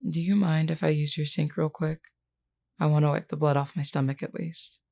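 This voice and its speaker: Low, gravelly, flirty werewolf woman, played by an actress. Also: steady tone, warm tone. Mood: casual, candid. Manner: teasing, blunt, unhurried, uses pet name "hun". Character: flirty, defiant adult female werewolf, wounded but self-assured. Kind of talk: intimate audio roleplay, speaking to the listener.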